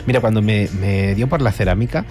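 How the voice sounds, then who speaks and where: A man's voice: fairly low pitch, fairly quick, darkish tone, faint music behind. A man from Almería, Spain, talking as an author guest in a radio interview, chatty and full of restarts. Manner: chatty, digressive, many restarts.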